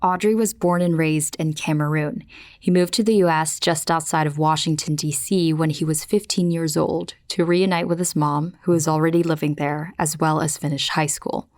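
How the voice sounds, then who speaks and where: A woman's voice: sits low, steady tone, normal speed, darkish tone. A woman from the Philippines narrating a podcast as host, reading scripted personal storytelling.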